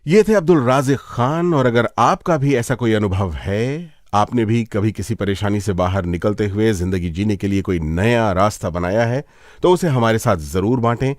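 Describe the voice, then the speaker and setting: A man's voice: on the low side, moderate pace, no echo, studio quality. A man presenting a radio magazine show in a playful, conversational way, addressing listeners directly.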